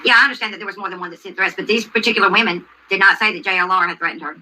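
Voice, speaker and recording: A woman's voice: medium pitch, very fast, sharp tone, slight background noise, low-quality recording. A woman hosting a livestream, commenting on a video and answering chat in an insistent way, repeating herself and asking questions. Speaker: livestream host.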